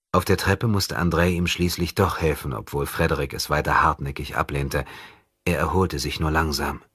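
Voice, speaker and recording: Male audiobook narrator: extremely low, breathy-husky voice, measured dramatic reading, dry studio sound. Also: a bit bright, no echo. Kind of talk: scripted dramatic literary narration.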